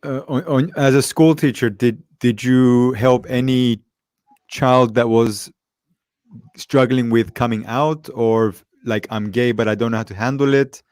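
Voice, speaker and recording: A man co-hosts a live talk show, asking chatty questions that start with stumbles. His voice sits at a middle pitch. The sound is dry and close, with no room echo. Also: fairly even intonation, measured pace, a little mellow, clean tone. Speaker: co-host. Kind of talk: casual interview questions.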